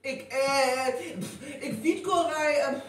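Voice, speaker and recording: A woman with a North Frisian accent playing an excitable village woman passing on rumours in a live radio play. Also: high voice, slow pace. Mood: flustered. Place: live stage performance venue. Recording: echoey live hall, distant mic, faint noise.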